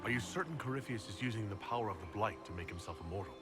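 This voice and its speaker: An actor playing a resolute, inquisitive adventuring leader in a video game, with a direct, businesslike manner. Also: male voice, lowish voice, lively intonation, racing, music in the background, close to an everyday voice. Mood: direct, questioning. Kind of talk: video game dialogue acting.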